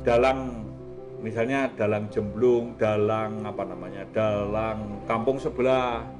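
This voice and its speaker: A man's voice: lowish voice, fairly even intonation, unhurried. Chatty Javanese-accented man over music. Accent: Javanese-accented Indonesian. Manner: chatty, peppers talk with colloquial Javanese words and tag questions. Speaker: host of an art talk show. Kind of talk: casual, rambling discussion.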